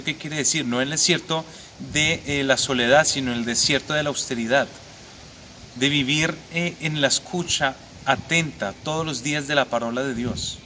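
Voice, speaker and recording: A young adult Franciscan friar from Bogotá with a Colombian accent gives a calm, reflective pastoral message outdoors by a church, pausing often with "eh". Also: male voice, mid-range voice. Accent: Bogotá Colombian Spanish. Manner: calm reflective preaching with frequent "eh" pauses. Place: outdoors at a convent beside a church. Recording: clear outdoor background noise.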